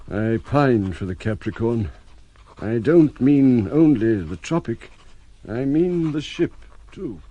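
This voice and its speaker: An actor playing a lonely, homesick gentleman, reading out his letter wistfully in an audio drama. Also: medium pitch, dull audio.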